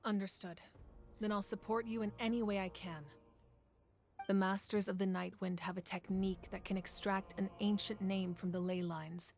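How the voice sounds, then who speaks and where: A woman playing a calm, commanding ruler of a fire nation who speaks in a composed, measured, earnest way. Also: mid-range voice, creaky, lightly acted. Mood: steady. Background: game music.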